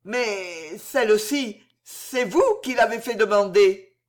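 A woman's voice: on the low side, big pitch swings, measured pace. Woman voicing a farmer man, hesitant, anxious, tentative, audiobook. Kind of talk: audiobook reading.

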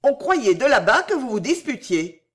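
A woman voicing an artless, devoted young boy who chatters and blurts things out excitably in a storybook reading. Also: on the high side, moderate pace, breathy edge, pitched above the performer's own voice. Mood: blurting.

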